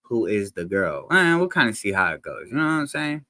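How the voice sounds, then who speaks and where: A man's voice: lowish voice, big pitch swings, fairly quick, pushed and bright, no echo, studio quality. A man hosting a casual reaction video. He talks to the viewers in slangy, rambling speech with many restarts and fillers, into a desk microphone that sometimes peaks. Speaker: reaction channel host. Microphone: desk microphone, sometimes peaking.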